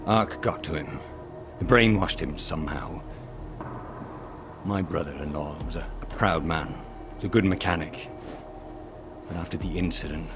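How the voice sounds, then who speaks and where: An actor playing a guilt-ridden, protective police lieutenant in a video game, speaking in an earnest, guarded, halting way. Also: male voice, low-pitched. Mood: bitter, pained. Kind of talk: video game dialogue acting.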